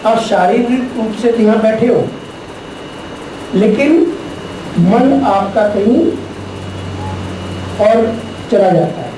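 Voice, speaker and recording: A middle-aged man giving a spiritual lecture to a class, teaching deliberately and posing rhetorical questions. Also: sits high. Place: large echoey classroom. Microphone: distant room mic. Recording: very echoey, distant, noisy room recording.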